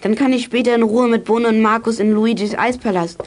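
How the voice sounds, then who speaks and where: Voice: mid-range voice, fairly even intonation, brisk, lightly acted. A performer playing a decisive, cheeky child who leads young detectives in a children's radio play. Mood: curious, confident. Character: bossy, self-assured child leader of young detectives.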